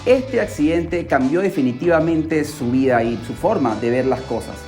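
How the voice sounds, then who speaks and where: Animated podcast storyteller over faint music. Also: mid-range voice, normal speed. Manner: dramatic, excitable delivery with rhetorical questions and exclamations. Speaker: podcast host. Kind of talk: scripted storytelling narration for a pop culture podcast.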